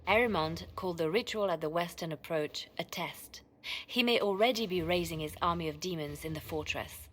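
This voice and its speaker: A cool, grim spymaster, voiced by a woman in a game cutscene. Her voice is on the low side, measured and serious. Faint ambient noise is behind her. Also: lightly acted. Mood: grave, informative. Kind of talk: video game cutscene acting.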